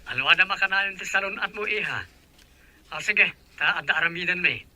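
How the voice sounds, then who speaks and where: An actor as a bright-voiced caring father. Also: sits high, a little fast, no room sound. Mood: affectionate. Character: caring middle-aged father working abroad. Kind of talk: Filipino radio drama acting.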